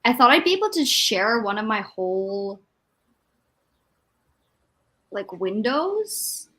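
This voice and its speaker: A woman with a fairly high voice hosts a casual livestream. She talks informally and hesitantly, working things out aloud as she goes. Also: very animated, a little slow, a bit bright. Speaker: livestream host.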